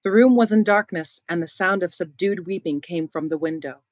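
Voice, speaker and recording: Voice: medium pitch, normal speed, dry recording, clean studio sound. A narrator delivering scripted dramatic storytelling for a radio play.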